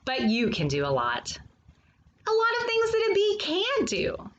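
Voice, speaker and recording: A woman reading a children's nonfiction story aloud, addressing young listeners directly with questions and pauses. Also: extremely high pitch, huge pitch swings, fairly bright tone, dull audio, a little hiss or hum.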